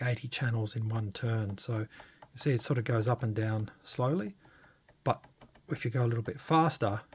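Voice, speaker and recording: A man narrating a casual, unscripted hands-on demo, informal and halting as he thinks aloud. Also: mid-range voice, slight background noise. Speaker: hobbyist radio modifier presenting a demo.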